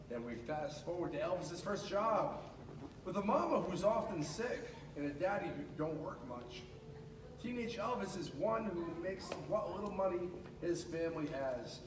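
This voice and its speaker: Male storybook reader, high voice, expressive delivery with chatty asides, distant and echoey school-room recording. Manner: expressive, with casual asides to listeners. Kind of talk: animated children's picture book read-aloud. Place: indoors at a school. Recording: echoey room, distant mic, noisy background.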